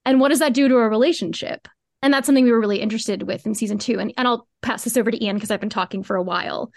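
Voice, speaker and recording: A woman's voice: on the high side, racing, no echo, studio quality. A woman giving earnest, reflective answers at length as a guest in a conversational podcast interview. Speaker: podcast guest, co-creator of a fiction podcast.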